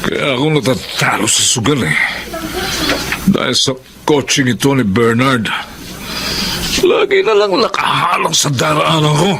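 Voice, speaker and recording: A man's voice: mid-range voice, very animated, a little slow, gravelly, long pauses. An actor playing a resentful, hot-tempered middle-aged father with a harsh, jeering delivery in an audio drama. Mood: bitter. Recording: dramatic music underneath. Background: music.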